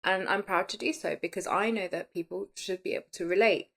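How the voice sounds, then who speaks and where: A woman's voice: mid-range voice, steady tone, fairly quick, vocal fry. A woman in her late thirties talking casually and candidly as the host of a personal podcast, in a chatty, conversational way. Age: late 30s.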